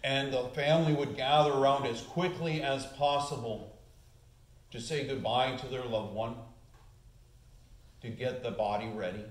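A man in his forties delivers an earnest sermon from a church lectern, his ordinary mid-range voice rising in pitch and volume as he presses his points. The sound comes from a camera set back in the sanctuary, so the room's echo surrounds every word. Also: steady tone, measured pace, pauses often. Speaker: pastor. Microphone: far camera. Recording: echoey church room.

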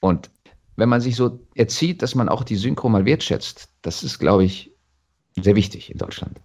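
A man with a German accent talking reflectively as an interview guest, thoughtful and halting as he restarts his phrases. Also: lowish voice, expressive, a little mellow.

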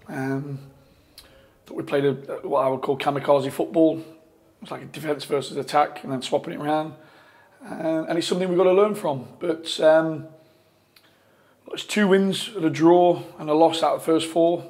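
A football manager in his forties giving a post-match interview from a press room, talking in a measured way with frequent restarts and fillers on a lapel mic. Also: a little high, fairly even intonation, a little mellow. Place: press room in front of a sponsor board.

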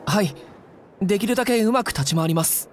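Earnest young soldier, very lively pitch. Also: male voice, brisk, background noise. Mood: friendly. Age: young adult. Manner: polite, hesitant. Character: polite, earnest, awkward young male soldier hero. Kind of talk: Japanese anime game voice acting.

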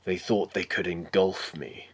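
A battle-worn knight in armor, acted out by a man, defiant against demons in a solemn film monologue. The voice is very deep and husky, slow and grandiose, with forceful intensity and breathy phrase endings. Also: exaggerated voice. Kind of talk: dramatic film audition monologue.

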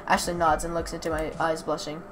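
A woman's voice: deep voice, little pitch movement, brisk, faint music behind, rough recording. A woman reading a story aloud with casual asides, stumbling over words and correcting herself. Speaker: reader of a visual novel playthrough. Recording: clear background noise.

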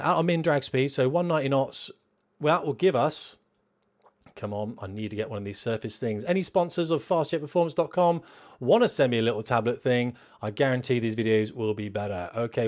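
A British man in his forties, a flying instructor, giving an informal, chatty lecture with frequent rhetorical questions. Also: mid-range voice. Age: 40s. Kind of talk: informal instructional lecture over a drawn map.

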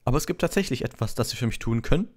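A man voicing a courteous, pompous, excitable old ghost in a storybook, speaking formally and eagerly. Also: medium pitch, rapid-fire, pitched above the performer's own voice, natural voice. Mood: excited, pleading.

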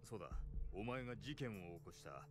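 A man's voice: lowish voice, expressive, brisk, music in the background, lightly acted. A man acting a smug, menacing street-racing crew boss in a Japanese game dub, drawling and commanding.

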